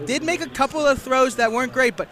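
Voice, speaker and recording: A young man offers color commentary on a live football radio broadcast. His voice is high for a man, and he talks through the game in a conversational, analytical way. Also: quick pace, a bit bright. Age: young adult. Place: football stadium broadcast position.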